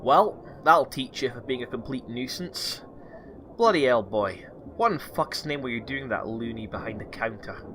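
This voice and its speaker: A man playing a gruff, foul-mouthed but kindly doctor with a Scottish accent in a comedy fantasy audio drama. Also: medium pitch, lively intonation, normal speed, fairly bright tone, a little hiss or hum, close to an everyday voice. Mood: blunt, teasing. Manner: blunt, teasing, scolding.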